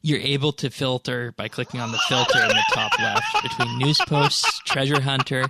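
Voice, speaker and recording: Creaky-voiced man hosting a casual podcast. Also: medium pitch, huge pitch swings, moderate pace, very noisy. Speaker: podcast host.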